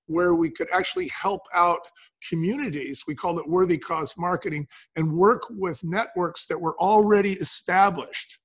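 A man's voice: high voice, moderate pace, breathy edge. A man telling anecdotes conversationally as an interview guest, acting out the dialogue as he goes. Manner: acts out quoted dialogue. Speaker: interview guest, wine company co-founder.

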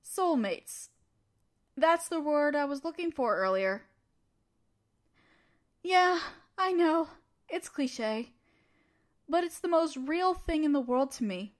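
Woman acting a high-voiced, bashful schoolgirl. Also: lively intonation. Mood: happy, lovestruck. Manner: halting, bashful, breaking off mid-sentence. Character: awkward, earnest teenage girl student council president. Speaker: audio roleplay performer. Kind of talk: romantic audio roleplay acting, one-sided dialogue. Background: rain sounds.

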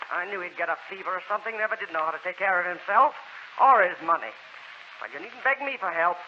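An actress playing a stingy, grumpy old aunt who scolds and complains brusquely in a 1940s American radio drama. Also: female voice, a little high. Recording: muffled, noisy 1940s radio recording.

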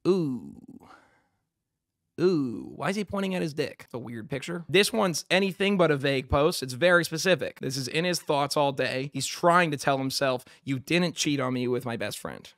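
A sarcastic young man who streams commentary, talking casually and swearing as he riffs on clips. His voice sits a bit high for a man. It is recorded dry and close on a studio mic, with no room echo. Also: animated, moderate pace, fairly bright tone. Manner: sarcastic, frequently swearing, acts out mocking quotes. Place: small bedroom studio indoors. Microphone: studio mic close up on a boom arm.

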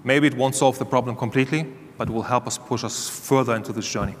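A man's voice: mid-range voice, fast, slight background noise. A man speaking as a panelist on a conference stage, candid and conversational, on a lapel mic. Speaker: panelist, security leader. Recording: reverberant hall, faint ambience.

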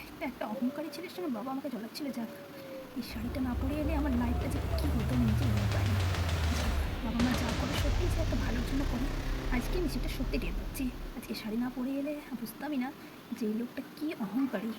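Female puppeteer as polite young heroine, dignified and hurt, high lively voice, noisy amateur sound. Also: fast. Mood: hurt, composed. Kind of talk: amateur puppet-show dialogue.

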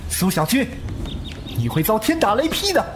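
An actor playing an earnest, ruthless young lord of a martial sect, speaking with authority in a Chinese wuxia audio drama. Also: male voice, high voice, very animated, normal speed, noisy background. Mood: cold, commanding. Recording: music under the voice.

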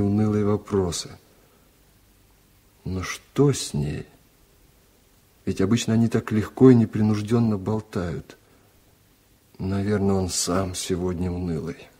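A man reading a literary story aloud for radio, in a measured, reflective and restrained way. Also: low voice, warm tone. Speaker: narrator.

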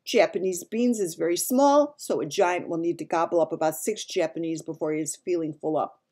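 A woman voicing a gentle, runty male giant from a children's storybook, talking in muddled grammar and made-up words. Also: on the low side, a little fast, close to an everyday voice. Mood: explaining. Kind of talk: dramatised children's storybook reading.

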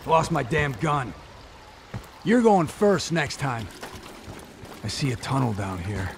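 A man playing a tough, driven covert operative in a video game, curt and dry with a gravelly voice. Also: mid-range voice, expressive, slow, slight background noise. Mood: irritated. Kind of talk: video game dialogue, terse and hard-boiled. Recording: game mix under loud music.

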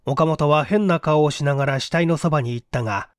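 A man's voice: on the high side, brisk, clear, resonant tone, no room sound, studio quality. A man reading a mystery story as dramatic, suspenseful, theatrical audiobook narration. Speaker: audiobook reader.

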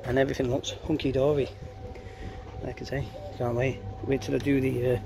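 A man talks through a casual DIY vlog in a mid-pitched voice that barely rises or falls. He is chatty and informal, rambling a little. He is recorded outdoors on a handheld device with clear ambient noise around him. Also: little pitch movement, fairly quick. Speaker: DIY vlogger. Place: outdoors in a back garden. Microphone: camera or phone held by the speaker.

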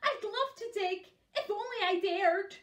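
A woman voicing a timid, wimpy male cook in a children's story, whining and panicky, with drawn-out plaintive wails. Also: very high-pitched, a bit bright, reverberant, far from the mic, pitched above the performer's own voice. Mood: scared, whining.

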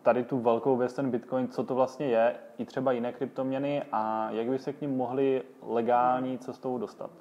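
A male host talks in a relaxed, conversational way at an ordinary middle pitch. He sets up each topic and invites his guest to explain, with the odd filler along the way. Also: hardly any pauses, muffled recording. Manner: friendly, leading, some fillers. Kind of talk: casual interview questions.

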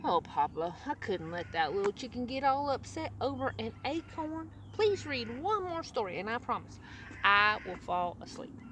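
A woman's voice: a little high, sing-song, normal speed, a bit bright, distant mic. A woman voicing an impulsive, pleading little red hen child who blurts and exclaims eagerly, in a children's storybook. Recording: outdoors with background noise.

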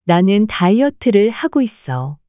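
A woman's voice: medium pitch, very animated, dry recording. A woman narrating a language lesson, reading short phrases and then their translations. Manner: reads each English phrase very slowly, Korean lines quickly. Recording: dry, phone-like narrow band.

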